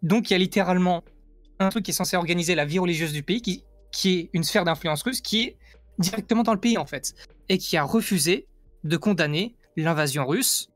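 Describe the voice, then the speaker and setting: Man, from France, fairly high pitch, casual debating, emphatic explanations, very dry recording, music underneath. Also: a little fast, fairly bright tone, no echo. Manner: insistent, emphatic, repeats himself. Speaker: guest giving an opinion.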